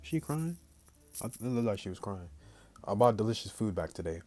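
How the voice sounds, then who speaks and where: A young man hosting a gaming video, reading visual novel lines aloud in a casual, halting way. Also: lowish voice, sing-song, dark tone, soft background music. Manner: halting, stumbling reader.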